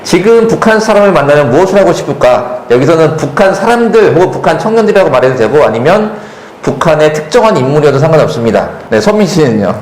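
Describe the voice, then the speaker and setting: A man moderating a panel talk concert, relaxed and joking, filling pauses with hums and affirmations. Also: fairly high pitch. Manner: relaxed, jokey, lots of fillers and affirming hums. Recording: echoey hall with faint noise.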